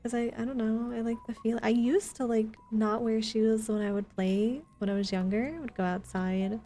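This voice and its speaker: A woman's voice: fairly high pitch, fairly even intonation, moderate pace, dark tone, soft background music. A woman chatting informally and playfully with viewers while she streams a video game. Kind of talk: casual live gaming chat with viewers.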